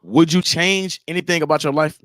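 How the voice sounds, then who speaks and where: A man's voice: fairly high pitch, fairly quick, a bit bright, dry recording. Laid-back young man hosting a podcast. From Haiti. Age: 20s. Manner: laid-back, slangy, laughs often. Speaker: podcast host. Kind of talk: casual chat.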